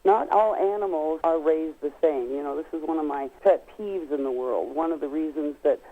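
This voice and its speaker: A woman's voice: deep voice, moderate pace. A woman guest expert on a telephone, giving explanatory answers in an emphatic, folksy way and stretching key words. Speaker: guest expert on nutrition products. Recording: tinny narrow-band telephone line.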